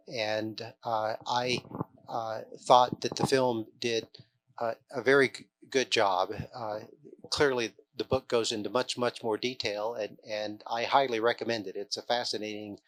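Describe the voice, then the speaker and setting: A man speaks off the cuff as an interviewee, with a bright, forward voice at a middling pitch. His delivery is halting, and he often stammers and doubles back on his words. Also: poor audio. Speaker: film extra interviewed at an event. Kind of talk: unscripted interview answers.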